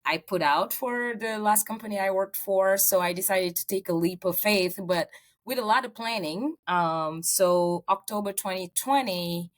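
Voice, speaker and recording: A middle-aged woman from Senegal, a real estate agent answering interview questions in earnest storytelling with fillers. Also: fairly low pitch.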